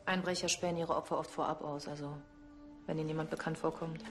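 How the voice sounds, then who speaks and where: A businesslike female investigator played by an actress gives curt, commanding lines in a TV crime drama. Her voice is lowish and quick, over clearly audible music. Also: little pitch movement, natural voice. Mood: curt, official. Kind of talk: naturalistic TV crime drama acting.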